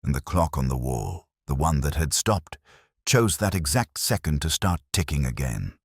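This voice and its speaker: Very deep hard-boiled male narrator. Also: sing-song, moderate pace, dry recording, studio quality. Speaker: audiobook narrator. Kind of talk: scripted first-person noir detective narration.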